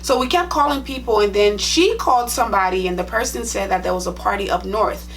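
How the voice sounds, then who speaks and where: A woman's voice: medium pitch, a little fast, a little hiss or hum. A young woman with a Liberian accent, a nursing vlogger talking to her viewers in a chatty, direct, plain-spoken way. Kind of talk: casual storytime vlog.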